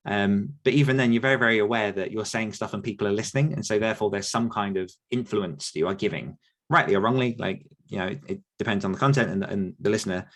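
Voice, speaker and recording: A man's voice: lowish voice, fast talker, fairly bright tone. A man in his thirties talking casually about his writing career, rambling a little with restarts and the odd laugh. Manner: rambling with frequent restarts and self-deprecating laughs. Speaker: podcast host and author answering listener questions. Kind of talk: casual conversational chat.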